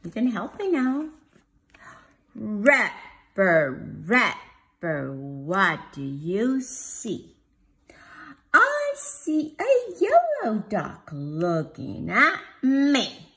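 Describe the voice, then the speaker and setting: A woman preschool teacher reading a picture book aloud to young children in a sing-song, drawn-out way. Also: medium pitch, huge pitch swings, fairly bright tone. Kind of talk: children's storybook read-aloud. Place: home dining room, indoors.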